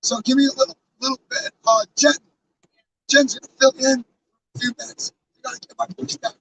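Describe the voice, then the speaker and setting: A hoarse man losing his voice, talking casually and earnestly to his live-stream chat, rambling with fillers and restarts. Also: very high-pitched, very animated, brisk, fairly bright tone, distant mic, poor audio. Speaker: live streamer with a truck convoy. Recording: off-mic with faint background noise.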